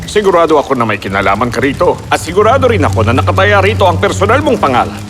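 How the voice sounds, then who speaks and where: A man's voice: a little high, big pitch swings, quick pace, in a large hall. A man voicing a proud, suspicious dwarf craftsman in a fairy tale, with emphatic, theatrical delivery. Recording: loud background music.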